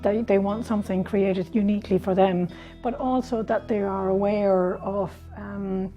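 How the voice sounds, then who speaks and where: A middle-aged woman from Denmark, not a native English speaker, answers questions in her workshop at a medium pitch. She talks casually, with plenty of fillers and restarts, while soft music plays underneath. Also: fairly even intonation, highs cut off. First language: Danish. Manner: halting, many restarts and fillers. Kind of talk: casual interview.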